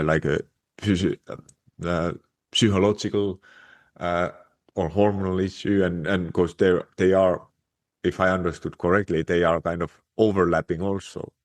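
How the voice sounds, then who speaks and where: A man from Finland with a Finnish accent, hosting a podcast and asking questions hesitantly. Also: deep voice. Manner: hesitant, many fillers and restarts. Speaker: podcast host.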